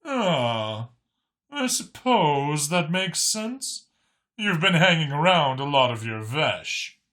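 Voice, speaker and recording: Man doing a sarcastic, bright-voiced vulture alien thief. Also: a little high, big pitch swings, takes it slowly, pitched above the performer's own voice, exaggerated voice. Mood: skeptical. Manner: dripping sarcasm.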